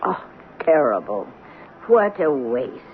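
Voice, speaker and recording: A woman's voice: rumbling low, big pitch swings, takes it slowly, exaggerated voice. An actress playing a kindly, moralizing elderly woman in a radio drama, earnest and lamenting. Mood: dismayed. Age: older. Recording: tinny old radio drama broadcast, faint noise.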